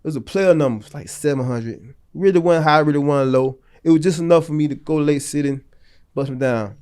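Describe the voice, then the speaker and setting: A man's voice: on the high side, a little fast, a little mellow, smooth. A man in his late thirties from North Florida, a podcast guest telling long stories in a loose, slangy, rambling way. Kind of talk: casual storytelling interview.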